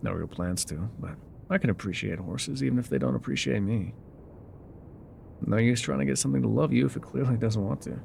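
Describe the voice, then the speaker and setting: An actor playing a gruff, guarded male trail guide in an immersive audio roleplay, with wry, folksy, laconic phrasing. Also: mid-range voice, fairly quick, darkish tone, slight background noise. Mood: easygoing. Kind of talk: immersive ASMR roleplay acting, talking to the listener.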